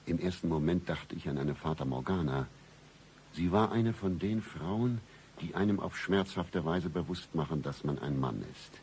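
An extremely deep male voice plays a sardonic, world-weary detective in a noir radio drama. He narrates in a brooding deadpan, as if nothing could surprise him. There is faint noise in the recording. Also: normal speed. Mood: smitten, sardonic. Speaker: narrator and lead character.

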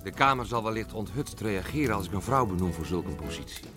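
A man's voice: fairly low pitch, lively intonation, fast talker, fairly bright tone, faint music behind. Formal, evasive statesman. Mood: evasive, resigned. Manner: formal, apologetic. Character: hesitant, evasive male government leader. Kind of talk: radio drama acting.

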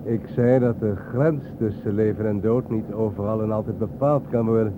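A man acting an enigmatic, war-haunted guide in a radio play. His voice sits fairly low, and he talks patiently, in measured riddles. The old recording is thin and narrow, with some noise. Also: steady tone, natural voice. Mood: calm, grave. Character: calm, enigmatic male war-haunted guide, sparing with words.

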